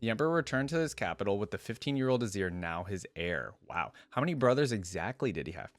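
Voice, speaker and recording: Male reaction-channel host, lowish pitch, casual commentator and reader, no room sound. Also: very animated, quick pace, no echo. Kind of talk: casual reaction commentary mixed with reading aloud.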